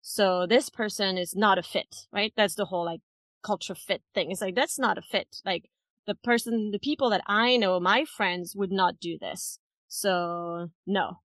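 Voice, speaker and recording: A woman's voice: mid-range voice, measured pace, fairly bright tone, no room sound. Interview guest: woman, mid-range voice, thoughtful and explanatory, conversational delivery. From France. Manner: thoughtful, explanatory, often checks with "right?" and "you know". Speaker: interview guest, head of people at a tech company.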